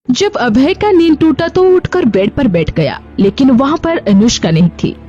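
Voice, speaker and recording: Female story narrator, high and animated delivery, scripted read over a music bed. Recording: music behind the voice.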